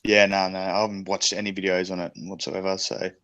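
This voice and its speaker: A man with a somewhat low voice co-hosts a casual gaming podcast. He talks in an easygoing, halting way, repeating words as he goes. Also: flat delivery, fairly bright tone. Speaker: podcast co-host. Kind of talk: casual chat about gaming.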